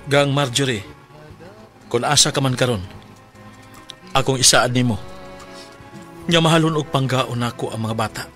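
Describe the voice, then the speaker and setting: A man's voice: medium pitch, a bit bright, lightly acted. A man with a Cebuano Filipino accent playing a devoted, anxious, tender husband and father in a sentimental radio drama. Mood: sorrowful, reflective. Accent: Filipino, Cebuano-speaking. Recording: music behind the voice.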